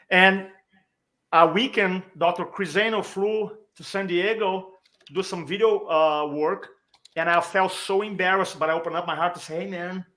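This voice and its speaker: A man with a Brazilian accent and a fairly high-pitched voice tells personal stories in a conversational way. He is a native Portuguese speaker talking as a guest speaker and coach, moving along in short phrases and acting out bits of dialogue. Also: sharp tone.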